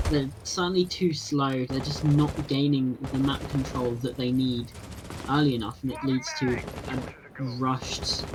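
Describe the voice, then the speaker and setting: An esports caster giving play-by-play and measured analysis of a tactical shooter match, with dry humor. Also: medium pitch. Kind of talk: play-by-play commentary. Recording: broadcast with noisy game audio.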